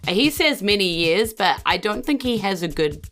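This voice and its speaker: A woman's voice: medium pitch, big pitch swings, moderate pace. A woman with a New Zealand accent improvising narration as game master, in a loose, conversational, explanatory way. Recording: music behind the voice. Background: background music.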